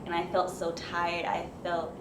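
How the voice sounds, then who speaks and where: A woman's voice: fairly low pitch, completely flat delivery, a little slow. Teenage girl from Long Island, noisy room. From Long Island, New York. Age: teens. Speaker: guest, student athlete. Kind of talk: casual interview. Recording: echoey room with noise behind.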